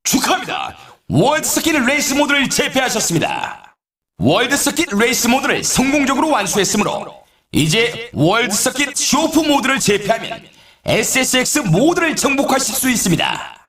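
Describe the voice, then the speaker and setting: High-pitched, swooping snowboard game announcer. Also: male voice, wildly swooping pitch, moderate pace, fairly bright tone, reverberant, full character voice. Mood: excited, celebratory. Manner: theatrical, showy, exclaiming. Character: excitable male extreme snowboarding event announcer. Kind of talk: hyped sports game announcing, scripted.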